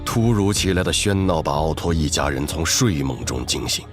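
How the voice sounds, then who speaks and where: A man's voice: extremely low pitch, animated, moderate pace, soft background music. A man reading scripted, dramatic story narration.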